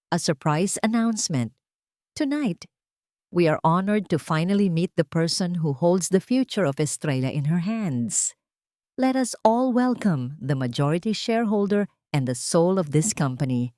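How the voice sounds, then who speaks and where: A woman's voice: fairly low pitch, animated, measured pace, warm tone, no room sound, clean studio sound. A woman storyteller voicing a formal master of ceremonies with a ceremonious announcing manner. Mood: grand, formal.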